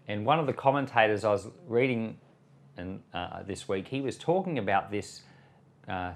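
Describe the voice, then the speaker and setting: An Australian man, a pastor hosting a Bible podcast, reading and explaining scripture with measured, emphatic phrasing. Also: on the low side. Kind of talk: scripture reading with devotional commentary.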